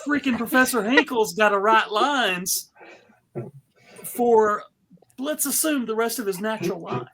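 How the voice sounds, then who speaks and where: A man hosting a live script reading, narrating and bantering in a casual, animated way. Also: high voice, unhurried.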